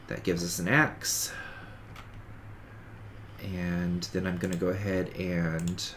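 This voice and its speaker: A man's voice: deep voice, little pitch movement, takes it slowly, fairly bright tone, a little hiss or hum. A man with a stuffy, sniffly voice from a cold, casually talking through a survival game as he plays, rambling and correcting himself. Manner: rambling, self-correcting, talks through each game step. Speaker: gaming streamer. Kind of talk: casual let's-play commentary.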